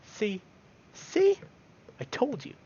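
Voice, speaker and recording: A man's voice: very high voice, huge pitch swings, slow, mellow, highs cut off, slight background noise, pitched above the performer's own voice, full character voice. A man voicing an aggressive, paranoid wolf in a visual novel, growly and agitated. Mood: frantic. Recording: music behind the voice.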